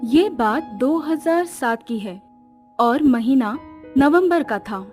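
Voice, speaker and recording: Woman narrator, high pitch, unhurried pace, scripted horror storytelling, music underneath. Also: expressive, darkish tone.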